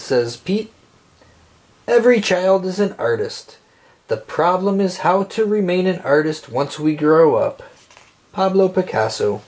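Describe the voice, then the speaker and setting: A man reading a children's picture book aloud, phrasing it deliberately and expressively for young listeners. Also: sits high, a little mellow.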